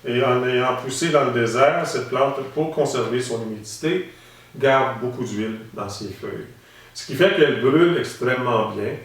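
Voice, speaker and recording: Echoey man explaining calmly. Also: medium pitch, normal speed. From Saskatchewan, Canada. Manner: calm, explanatory, with frequent hesitations. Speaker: instructor. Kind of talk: instructional demonstration. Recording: very echoey room, mic at a distance.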